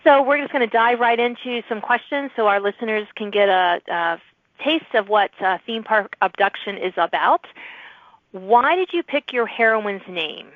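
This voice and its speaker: A woman's voice: a little high, normal speed, no room sound. A woman hosting a podcast, interviewing a guest in a casual, conversational way. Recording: muffled, lo-fi audio.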